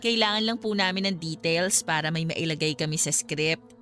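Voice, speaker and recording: A woman's voice: deep voice, moderate pace, a bit bright, soft background music. A woman playing a polite, businesslike young vlogger who explains things courteously in a Filipino radio drama. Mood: courteous, persuading.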